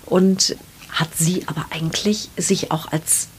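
A woman's voice: low voice, fairly even intonation, measured pace, a little hiss or hum. A woman conducting a literary interview in conversation, phrasing her questions in an articulate, eloquent way. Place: indoors at the guest's home.

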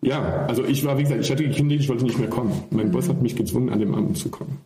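A man's voice: medium pitch, very fast, mellow, echoey room. A man from near Paris with a French accent, chatting casually on a wine podcast and sometimes searching for German words. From France, near Paris. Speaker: winery co-owner.

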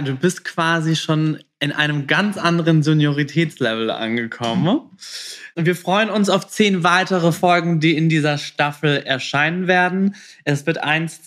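Man hosting, slightly high voice. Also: fairly bright tone. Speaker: podcast host. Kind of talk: chatty podcast interview.

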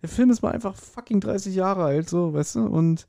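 A man with a soft, dark-toned voice co-hosts a podcast, recorded very dry. He chats casually and explains his opinions in slangy language. His pitch sits a little above average. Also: moderate pace, gravelly, dry recording. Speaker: podcast co-host. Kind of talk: casual chat.

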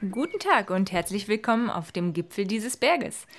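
Self-important fairy for a storybook play: medium pitch, businesslike, politely condescending. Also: female voice, big pitch swings, moderate pace. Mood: brisk, polite. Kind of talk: fairy-tale audio drama acting.

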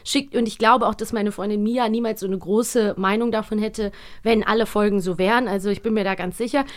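A woman's voice: mid-range voice, steady tone, fairly quick. A woman co-hosting a podcast in casual discussion, speaking frankly and giving her opinions openly. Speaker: podcast co-host.

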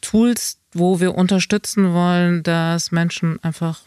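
A woman guest in an interview podcast, explaining her company's tools in a steady, explanatory way with frequent fillers. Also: on the low side, a little slow, smooth, no echo. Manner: explanatory, fills with "also" and "genau".

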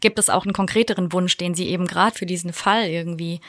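A woman's voice: fairly low pitch, fairly even intonation, quick pace, a bit bright. A woman hosting an interview, asking questions in a friendly, affirming way. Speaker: interview host. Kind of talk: conversational interview.

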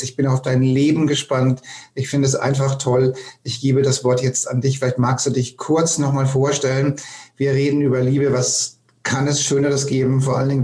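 A man's voice: medium pitch, a little mellow. A man hosting a podcast, introducing things conversationally in an effusive, repetitive way. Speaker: podcast host. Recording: roomy, echoing sound.

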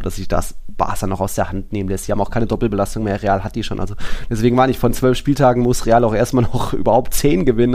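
A man's voice: lowish voice, gravelly, a little mellow. A man co-hosting a football podcast, commenting casually in an informal, loosely rambling, colloquial way. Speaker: podcast co-host.

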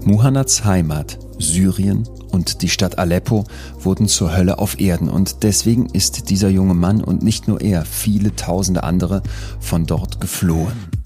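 A man's voice: low voice, normal speed, warm tone. A man closing a podcast episode with earnest, emphatic reflection. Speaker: podcast host. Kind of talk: closing narration. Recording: music clearly underneath.

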